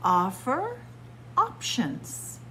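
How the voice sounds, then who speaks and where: A woman's voice: on the high side, huge pitch swings, drawn-out words, fairly bright tone, a little hiss or hum, rough recording. A middle-aged woman librarian reading a picture book aloud to children, word by word, with teacherly emphasis, recorded close on a webcam in a small library room. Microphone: webcam or phone close up at arm's length.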